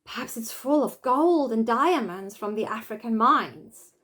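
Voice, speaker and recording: A woman's voice: fairly high pitch, animated, unhurried, fairly bright tone. A woman voicing an eager, playful child in a children's storybook. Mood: excited, playful.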